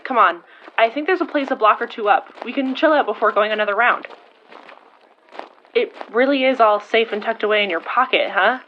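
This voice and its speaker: An actress playing a protective, blunt young sidekick in audio fiction, talking in an informal, wry and emphatic way. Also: female voice, a little high, fairly quick, lo-fi sound, slight background noise, natural voice. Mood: teasing, wry. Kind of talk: audio fiction dialogue acting.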